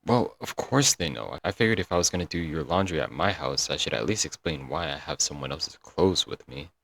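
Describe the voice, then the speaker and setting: A man's voice: very deep voice, animated, a little fast, vocal fry, fairly bright tone, slight background noise, heavily acted. A man playing a doting, apologetic young yandere kidnapper in a comedic roleplay audio drama, coaxing and rambling intimately to the listener. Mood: rambling, defensive. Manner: coaxing, rambling, pet names, nervous chuckles.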